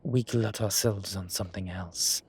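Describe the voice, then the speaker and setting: A man's voice: on the low side, steady tone, unhurried, darkish tone, slightly rough, heavily acted. A man playing a vain, suave incubus demon who poses as a posh professor in an audio roleplay, with a wry, self-satisfied drawl. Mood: wry, teasing. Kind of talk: scripted audio roleplay acting. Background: ambient sound effects.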